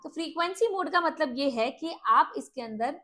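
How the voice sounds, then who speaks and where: High-pitched woman, casual review host, explanatory, English words mixed in, faint music behind. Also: animated, moderate pace, a bit bright. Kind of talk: casual product demo to camera.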